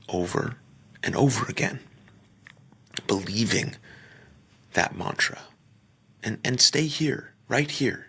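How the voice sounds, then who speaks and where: A man leading a guided meditation, speaking gently in short phrases with pauses and sometimes trailing off into a near-whisper. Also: mid-range voice, vocal fry, slightly rough. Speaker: podcaster. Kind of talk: guided meditation, soft slow instruction.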